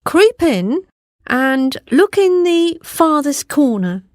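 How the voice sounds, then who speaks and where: A woman's voice: sits high, sing-song, takes it slowly, darkish tone, dry recording, clean studio sound, voice pushed up, full character voice. A woman voicing a helpful, confident male baker in a storybook. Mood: sly coaxing. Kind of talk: storybook character voice.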